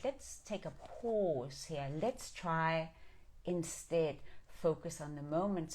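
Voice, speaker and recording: Woman, middle-aged, from Denmark, low voice, earnest and affirming, reflective inspirational live talk. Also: darkish tone. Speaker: live stream host. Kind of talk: reflective inspirational talk, reading and answering viewer comments.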